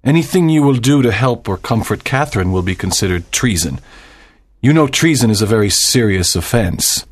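A man's voice: fairly low pitch, lively intonation, moderate pace, no room sound. An actor playing a proud, cold middle-aged father and doctor in a dramatized audiobook, speaking with pompous authority. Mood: stern, warning.

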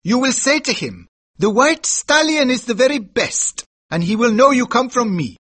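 A brash older horse dealer from a storybook, pitched high and straining with force. He is raspy and declamatory, barking commands in big swoops. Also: very animated, moderate pace, fairly bright tone, full character voice. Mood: scheming, commanding. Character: brash red-bearded Pashtun horse dealer, older man.

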